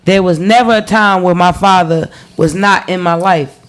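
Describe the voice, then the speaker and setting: A man's voice: high-pitched, steady tone, normal speed, a bit bright. A man, telling his personal story on a podcast in a candid way, repeating phrases and swearing freely. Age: 30s. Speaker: podcast guest.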